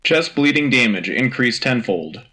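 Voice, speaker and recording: A man playing an earnest, patriotic radio anchor reading military news in a crisp, urgent, official manner. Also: mid-range voice, animated, moderate pace, bright, forceful tone. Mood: brisk, official. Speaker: radio host. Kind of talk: scripted military news bulletin.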